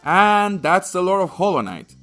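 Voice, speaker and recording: A man's voice: high voice, sing-song, moderate pace, fairly bright tone. Guy doing a funny game review, sarcastic and crude, voice jumps around a lot, music underneath. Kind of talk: comedic scripted review narration.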